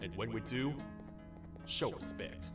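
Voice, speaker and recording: A low-pitched, stern cartoon lawman monster for a video game. He commands and interrogates in clipped phrases with lively, swinging intonation. The voice sits a little away from the mic, with music behind it. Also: slow, far from the mic. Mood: guarded, matter-of-fact. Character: gruff bossy cartoon lawman monster, stern but loyal to queen. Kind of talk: video game character dialogue.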